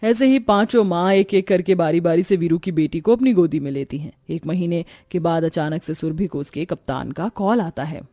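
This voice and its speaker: A woman's voice: on the low side, normal speed, hardly any pauses, warm tone, no room sound, studio quality. A woman reading scripted narration for a family moral story.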